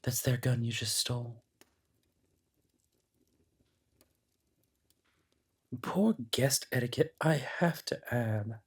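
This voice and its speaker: A man's voice: mid-range voice, breathy edge. A man playing an ancient monster in human guise, mockingly polite and possessive, in a theatrical horror audio roleplay. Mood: gleeful taunting. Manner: taunting, theatrical, drawn-out delivery.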